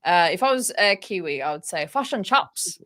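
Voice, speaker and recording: Low-voiced Australian woman chatting casually. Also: animated, moderate pace, a bit bright. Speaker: livestream host. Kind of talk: casual chat on a livestream.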